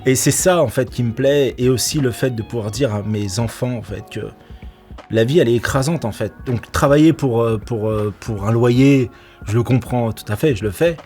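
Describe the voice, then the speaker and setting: A man's voice: mid-range voice, moderate pace, dark tone, soft background music. A middle-aged man from Portugal, an actor, telling stories from film shoots in a casual interview, laughing often and restarting his sentences. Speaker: actor and nightclub security manager.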